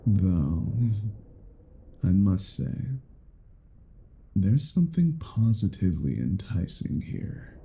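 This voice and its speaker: A man's voice: low-pitched, sing-song, slow pace, gravelly, long pauses, mellow, full character voice. A man playing a cruel, domineering vampire in a roleplay audio drama, speaking in a menacing, condescending manner with formal, archaic phrasing. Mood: menacing, disdainful. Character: cruel, domineering male vampire toying with captive werewolf.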